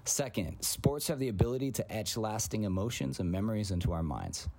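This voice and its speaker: A man's voice: fairly low pitch, animated, a little fast, vocal fry, a little mellow. A man in his forties, born in Greece with Greek as his first language, a coach and teacher reading a reflective personal essay.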